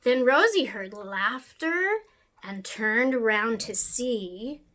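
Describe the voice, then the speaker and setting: A woman reading a rhyming children's picture book aloud in an expressive, sing-song way. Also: a little high, very slow. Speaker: storyteller.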